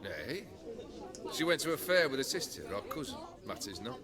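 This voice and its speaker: A world-weary lord of a rowdy band acted by a man in a fantasy game. His medium-pitched voice is eloquent and haughty, set against a loud crowd. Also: big pitch swings, normal speed, natural voice. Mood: wry, dismissive. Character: jaded, lordly male chieftain of a rowdy band. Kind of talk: video game cutscene acting. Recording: loud crowd chatter behind.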